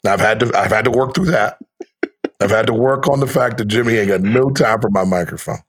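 Laid-back man in his fifties, husky voice. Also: lowish voice, brisk. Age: 50s. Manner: laid-back, storytelling, laughs easily. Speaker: guest, radio play-by-play announcer. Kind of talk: casual podcast conversation.

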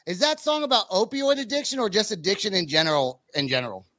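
A man's voice: high-pitched, expressive, quick pace, bright, forceful tone, dry recording, clean studio sound. A man in his forties hosting a podcast in a casual, chatty style. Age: 40s. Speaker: podcast host. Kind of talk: casual chat.

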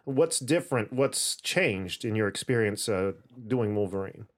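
A man's voice: on the low side, animated, a little slow. A man hosting a podcast, asking questions in a conversational interview style. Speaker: podcast host.

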